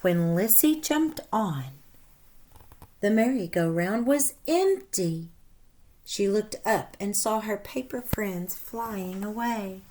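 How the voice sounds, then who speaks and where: A woman teacher reading a storybook aloud to young children in a deliberate, instructive way with animated emphasis. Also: medium pitch. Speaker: first grade teacher.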